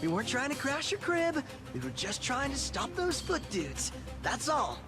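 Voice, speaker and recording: A man voicing a laid-back, goofy mutant turtle who talks in excitable surfer slang. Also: extremely high pitch, normal speed, music in the background, exaggerated voice.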